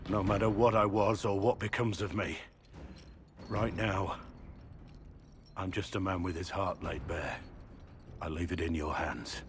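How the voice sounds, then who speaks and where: Humbled warrior prisoner, very low pitch, solemn, remorseful, middle-aged, video game drama. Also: male voice, steady tone, a little slow, pauses often, noisy background. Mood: vulnerable, sincere. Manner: solemn, heavy-hearted.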